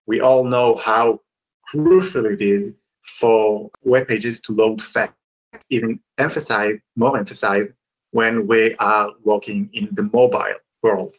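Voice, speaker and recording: A man with an Israeli accent giving a webinar presentation as a guest, explaining things steadily with the odd pause. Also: fairly low pitch. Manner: explanatory, steady, slightly halting. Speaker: guest presenter, company co-founder. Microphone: video call. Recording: dull lo-fi webinar audio.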